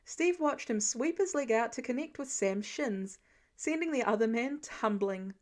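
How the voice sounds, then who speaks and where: A woman narrates a piece of fan fiction. Her voice sits high and moves around a lot in pitch, giving the storytelling an expressive, animated feel. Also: a little slow. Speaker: podfic reader.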